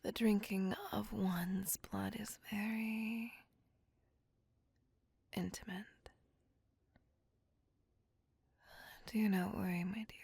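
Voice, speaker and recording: A woman's voice: medium pitch, gravelly, darkish tone, slightly rough, no room sound, exaggerated voice. A woman playing a seductive, possessive vampire in an intimate ASMR roleplay, whispering breathily with formal, courtly tenderness. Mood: tender, courting. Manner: slow, intimate, formal, coaxing endearments.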